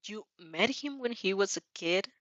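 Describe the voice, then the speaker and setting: A woman's voice: mid-range voice, expressive, moderate pace, a bit bright, dry recording, close to an everyday voice. Worried, earnest schoolboy, voiced by a woman, doubtful, dry and slightly dull audio. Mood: flabbergasted. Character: earnest, worried teenage boy student, searching for reassurance. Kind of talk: amateur fan fiction character reading.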